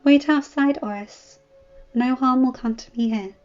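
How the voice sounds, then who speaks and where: A woman plays a young highborn lady of a fantasy storybook, commanding yet curious. Her voice is very high and soft in tone, her words formal and earnest. The audio is a little dull, with music behind. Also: a little slow.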